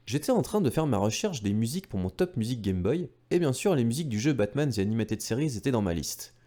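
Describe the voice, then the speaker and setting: A man's voice: mid-range voice, big pitch swings, quick pace, mellow. A man narrating a retro gaming video from a script, enthusiastic and speaking directly to viewers with casual asides. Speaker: video creator and host.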